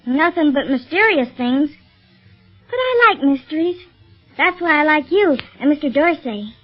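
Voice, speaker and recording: Casting: persistent, questioning little girl, extremely high-pitched, old dull radio sound. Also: expressive, normal speed, soft background music. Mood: curious. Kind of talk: old-time radio serial acting. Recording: muffled vintage radio recording.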